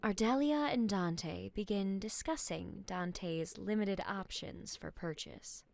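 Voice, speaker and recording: A woman narrator with a medium-pitched voice. She delivers scripted storytelling in the present tense for a fantasy audio adventure. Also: takes it slowly, creaky, a little mellow, no room sound.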